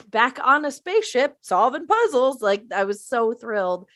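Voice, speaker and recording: A woman's voice: fairly high pitch, very animated, a little slow, fairly bright tone. A woman in a casual panel chat, speaking informally with emphatic enthusiasm.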